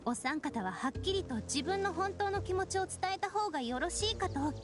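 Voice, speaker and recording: A woman playing a polite, gentle young advisor in a Japanese anime game, formal and courteous. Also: very high voice, animated, moderate pace, music in the background. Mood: gentle, advising.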